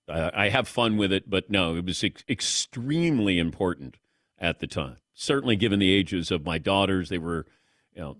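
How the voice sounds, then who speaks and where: A middle-aged man hosts a sports radio show with a lively, swinging delivery. He tells stories about himself in a relaxed, self-deprecating way. The studio mic sounds close and dry. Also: low voice, no room sound. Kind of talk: casual radio talk with callers and crew.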